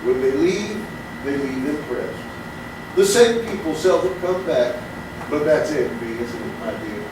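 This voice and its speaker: An actor playing a gentle, courtly, dreamy barfly, telling tales unhurriedly in a theatrical comedy. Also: male voice, medium pitch, expressive, natural voice. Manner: genteel, unhurried storytelling. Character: gentle, courtly, dreamy male barfly with an imaginary rabbit friend. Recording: distant, very echoey, noisy stage recording.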